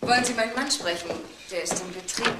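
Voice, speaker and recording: A woman's voice: on the high side, quick pace, close to an everyday voice. An actress playing a guarded, dismissive married woman in a crime drama. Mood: polite. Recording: clear background noise with clicks.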